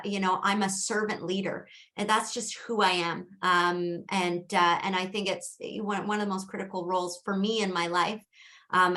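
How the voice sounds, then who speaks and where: A woman's voice: medium pitch, fairly bright tone. A middle-aged woman with a Canadian accent answering as a council candidate at a debate, earnest, with many fillers and restarts.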